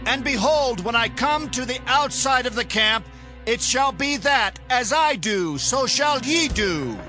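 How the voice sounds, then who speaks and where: Male actor for bold Israelite commander, high and sharp-edged, emphatic, in a dramatized scripture reading with faint music. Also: lively intonation, unhurried, full character voice. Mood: rousing command. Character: Gideon, adult male Israelite war leader, commanding and bold. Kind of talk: dramatized Bible reading in archaic English.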